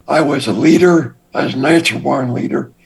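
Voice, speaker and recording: An older man with a raspy voice, a guest telling his past story in a measured, deliberate way. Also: mid-range voice, low-quality recording. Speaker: interview guest. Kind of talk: recounting his past in an interview.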